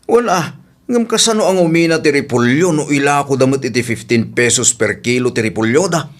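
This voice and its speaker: A man's voice: mid-range voice, a little fast, close to an everyday voice. A man playing a meddling, secretive village trader in an Ilocano radio drama, with an Ilocano Filipino accent and a grumbling manner.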